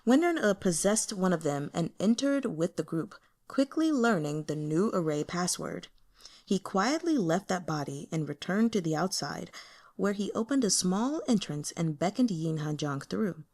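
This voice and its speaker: A woman's voice: lowish voice, animated, normal speed, a little mellow. A woman reading fiction aloud as an audiobook narrator in a scripted storytelling style.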